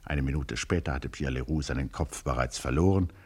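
A male storyteller with an extremely deep voice and a husky grain to it. He narrates literary prose in formal diction, as in a radio drama. His delivery is rhetorical and eloquent, with an ironic edge. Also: brisk.

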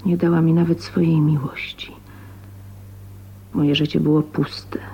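An actress playing a bitter, lonely spinster of twenty-nine in a radio drama soliloquy, husky, introspective and halting, often half-whispering. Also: female voice, sits low, level tone, warm tone, heavily acted. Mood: bitter, sorrowful. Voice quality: breathy, husky. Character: bitter, lonely, ailing 29-year-old spinster heroine, soliloquizing. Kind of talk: 1980s radio drama monologue. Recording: dull, muffled radio recording.

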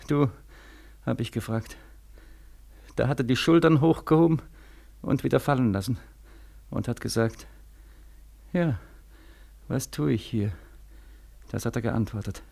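An actor playing a despairing, haunted young war veteran in a 1940s radio play, halting and anguished, swinging from hushed to frantic. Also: male voice, medium pitch, creaky, lightly acted. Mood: bitter, hollow.

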